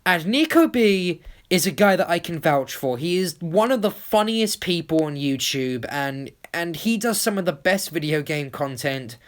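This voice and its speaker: YouTube creator, medium pitch, casual voice-over, enthusiastic, rambling, conversational. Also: sing-song, moderate pace, few pauses.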